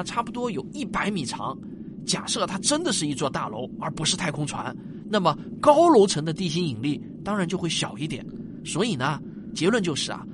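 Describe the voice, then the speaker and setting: Man playing an animated, patient space-traveling father. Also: high-pitched, noisy background. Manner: coaxing, teacherly, many softening particles. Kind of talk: Chinese science fiction radio drama acting.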